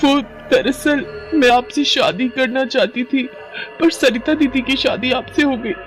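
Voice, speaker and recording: A man voicing a jealous, scheming young woman in an animated story, with a dramatic delivery. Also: very high-pitched, unhurried, soft background music. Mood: panicked, confessing. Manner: pleading, dramatic.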